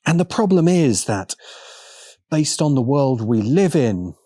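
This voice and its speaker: A man narrating a scripted video essay in a deliberate, emphatic manner with pointed pauses. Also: mid-range voice, big pitch swings, dark tone, no echo, clean studio sound.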